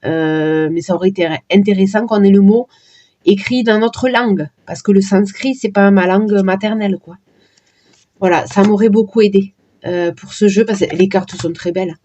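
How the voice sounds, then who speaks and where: A woman casually presenting her oracle card decks, talking in a hesitant way with many pauses and fillers. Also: mid-range voice, dark tone, slight background noise. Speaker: card reader vlogger.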